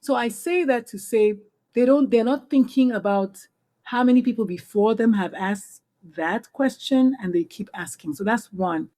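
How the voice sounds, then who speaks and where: A middle-aged woman with a Nigerian accent talks through her experiences as a guest on a podcast. Her voice is mellow and warm, and her delivery is earnest and animated, with quick restarts when she collects her thoughts. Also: on the high side. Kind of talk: conversational interview.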